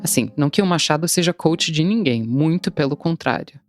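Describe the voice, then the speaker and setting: A woman's voice: deep voice, lively intonation, brisk, faint music behind. A woman in her thirties, a translator, telling her own story in wry, confessional scripted narration. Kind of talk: scripted first-person narration.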